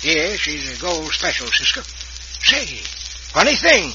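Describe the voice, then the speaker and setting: An actor playing a folksy Old West sheriff with an American western accent in a vintage radio drama. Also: male voice, on the high side, sing-song, measured pace, muffled recording. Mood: relaxed. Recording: hissy vintage radio recording.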